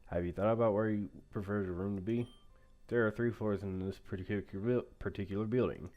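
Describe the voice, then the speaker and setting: A man voicing a responsible, organized young male student in a visual novel, speaking low, calm and measured. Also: muffled recording, lightly acted.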